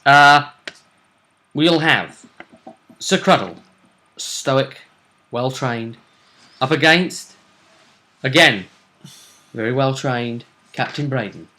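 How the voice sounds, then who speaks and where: A man with a distinctly bright voice at a middle pitch hosts a tabletop role-play show. He talks casually and explains things in a loose, thinking-aloud way, often restarting a thought to put it another way. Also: slow pace, long pauses. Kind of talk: casual discussion of game match-ups.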